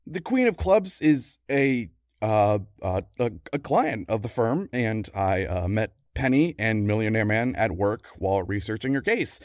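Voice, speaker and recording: A man's voice: fairly low pitch, lively intonation, a little slow, hardly any pauses, dry recording, clean studio sound. A man playing a tired, flustered, grumbling husband of a superheroine, plaintive and sarcastic, in a comedy audio drama.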